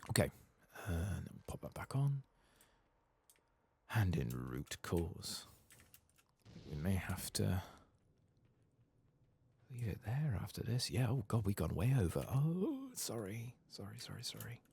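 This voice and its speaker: A soft-spoken man muttering playful, sweary, self-mocking ASMR commentary as he hosts a video game playthrough. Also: lowish voice, very animated, a little slow, gravelly, pauses often, slightly rough. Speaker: host of a gaming playthrough channel.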